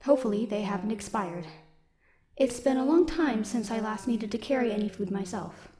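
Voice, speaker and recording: A woman playing a stoic, dutiful military robot in an audio roleplay, speaking in a formal, measured, protective way. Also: a little high, close to an everyday voice. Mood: factual, measured. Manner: formal, measured, literal wording. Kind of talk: scripted audio roleplay, speaking softly to one listener.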